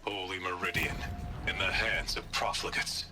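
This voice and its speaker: A stern, fanatical warrior priest acted by a man speaks in a low voice with solemn formality. It is a dramatic video game monologue, with clear ambient noise behind him. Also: flat delivery, moderate pace, natural voice. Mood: bitter resolve.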